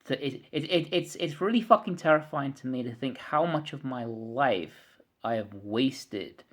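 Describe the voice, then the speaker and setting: A man in his thirties rants to his camera in a rambling, frustrated monologue. He repeats words, swears freely and often clears his throat. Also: mid-range voice, animated, unhurried, a bit bright. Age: 30s. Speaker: vlogger.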